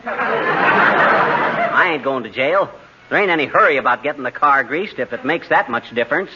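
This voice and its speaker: An actor playing a cocky, folksy, gullible middle-aged husband in a 1940s radio comedy, slangy and impatient. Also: male voice, on the high side, lively intonation, moderate pace, over a noisy crowd, lightly acted. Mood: reassuring. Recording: scratchy, muffled 1940s radio recording.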